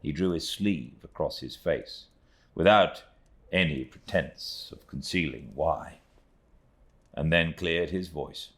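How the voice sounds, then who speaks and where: A man's voice: very deep voice, slow, fairly bright tone. A British man reading a classic novel aloud as an audiobook narrator, in a scripted literary style. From Britain.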